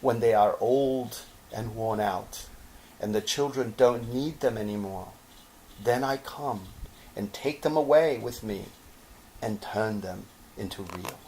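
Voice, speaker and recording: A man voicing a gentle, loving female fairy in a storybook, speaking tenderly and reassuringly. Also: fairly low pitch, slow pace, a little hiss or hum, low-quality recording. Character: gentle, loving female fairy who cares for old toys. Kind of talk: storybook read-aloud.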